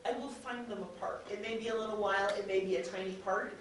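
A woman's voice: mid-range voice, steady tone, fast. A chatty woman talks in an informal panel discussion, rambling and often leaving thoughts unfinished. She sounds far from the microphone in a very echoey room, with faint noise behind her. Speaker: audio drama producer and panelist. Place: convention panel room, indoors.

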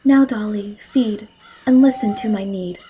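A woman's voice: medium pitch, measured pace, very noisy, natural voice. A woman playing a timid, polite and innocent young girl in a fairy tale audio drama, with a naive, hesitant manner.

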